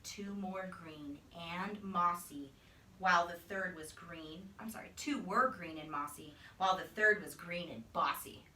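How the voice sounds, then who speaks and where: A woman reading a children's story aloud as a teacher, with expressive, animated narration. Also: lowish voice, a little slow, slight background noise. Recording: echoey room, distant microphone.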